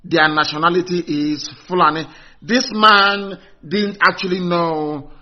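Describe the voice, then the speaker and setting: Fired-up guy ranting on a live stream, kinda high voice, muffled lo-fi sound. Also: fairly even intonation, unhurried. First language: Igbo. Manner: fervent and agitated, crying out exclamations and rhetorical questions. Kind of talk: impassioned live-stream address.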